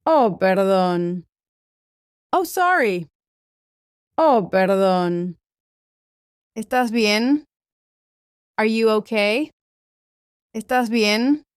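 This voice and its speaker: Apologetic female passerby acted by a woman, concerned, crisp voice, extremely unhurried, dry bilingual audio-story read. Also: medium pitch, expressive, no echo. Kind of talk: slow bilingual story dialogue for learners.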